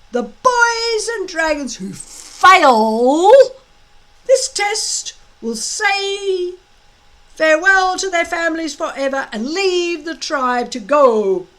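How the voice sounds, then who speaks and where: A woman reading an audiobook, voicing a blustering, shouting male Viking teacher. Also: high-pitched, voice pushed up, heavily acted. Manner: bellowing, gloating, drawn-out. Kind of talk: audiobook character voice.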